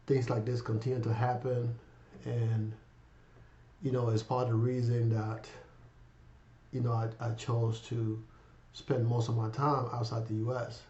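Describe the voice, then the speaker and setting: A man in his fifties with a cold and a raspy voice, hosting a casual finance vlog and rambling to viewers with lots of fillers. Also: medium pitch, warm tone, a little hiss or hum. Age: 50s. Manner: rambling, many fillers, addresses viewers as guys. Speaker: finance channel host. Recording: echoey room, dull lo-fi sound.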